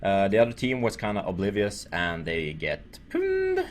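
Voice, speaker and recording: Low-pitched Swedish man, noisy recording. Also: wildly swooping pitch, normal speed. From Sweden. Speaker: gaming video creator. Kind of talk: casual gameplay commentary.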